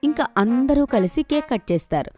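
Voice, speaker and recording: A woman's voice: sits high, sing-song, fairly quick, dark tone, soft background music. A woman narrator reading a scripted children's story.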